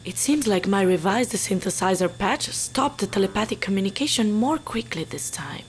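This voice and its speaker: An actress playing a composed, inquisitive research scientist in an audio drama, precise and clinical. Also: female voice, mid-range voice, animated, moderate pace, slight background noise, close to an everyday voice. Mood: intrigued. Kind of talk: naturalistic audio drama acting.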